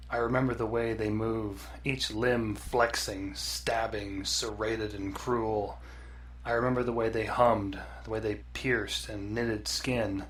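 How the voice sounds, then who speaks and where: A man reading scripted horror fiction as a narrator, in a deliberate, dramatic storytelling manner. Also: lowish voice, a bit bright. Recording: distant mic with faint noise.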